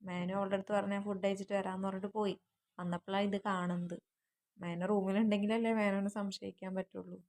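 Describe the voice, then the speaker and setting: A woman's voice: mid-range voice, flat delivery, fast, darkish tone. A woman casually recounting events, as in a private voice message.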